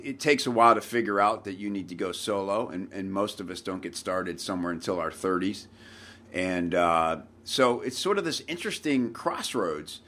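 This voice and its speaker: A man's voice: lowish voice, fairly bright tone. A man in his forties hosting a podcast, chatting loosely with lots of fillers and restarts. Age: 40s. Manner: scripted intro then loose, filler-heavy talk. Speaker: podcast host. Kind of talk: casual podcast chat.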